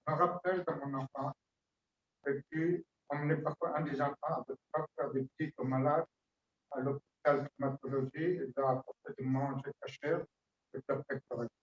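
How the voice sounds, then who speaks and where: A man speaks at a funeral in halting, fragmented phrases, sometimes slowing right down. His voice is picked up from a distance in a reverberant hall, and the recording has a muffled, dull tone. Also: medium pitch, steady tone. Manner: fragmented, hesitant, with slow drawn-out phrases. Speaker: family member giving a eulogy. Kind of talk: funeral eulogy.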